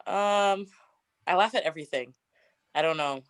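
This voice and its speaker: A young woman in her twenties with a deep, raspy voice, chatting casually as an interview guest and laughing often. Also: normal speed, no echo. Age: 20s. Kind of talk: casual get-to-know-me interview.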